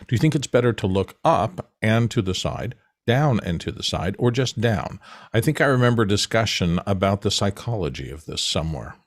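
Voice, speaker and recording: A man's voice: lowish voice, no echo, clean studio sound. A man reading viewer questions aloud for a panel show. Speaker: question reader.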